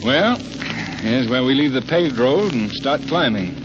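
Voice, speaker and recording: An actor playing a suave, calm, determined young gentleman sleuth in 1930s radio drama style. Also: mid-range voice, a little slow, music in the background, lightly acted. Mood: composed, determined. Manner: composed, urbane. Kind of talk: 1930s American radio acting. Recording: very hissy, muffled 1930s radio recording.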